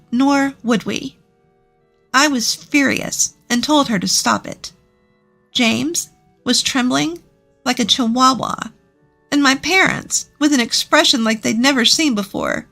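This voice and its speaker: A woman's voice: a little high, vocal fry, bright, forceful tone. A woman reading a scripted first-person story as its narrator.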